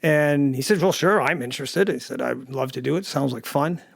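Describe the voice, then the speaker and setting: A man casually recalling past conversations in an interview, in a halting, conversational way. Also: mid-range voice, creaky, dry recording, studio quality. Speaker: audio drama director and producer.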